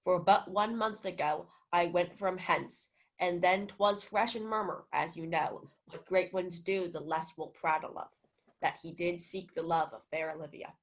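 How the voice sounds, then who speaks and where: Sea captain for radio Shakespeare woman in the role: loyal, courteous, lowish voice. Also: steady tone, unhurried, rough recording. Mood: informative. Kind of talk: student Shakespeare radio acting.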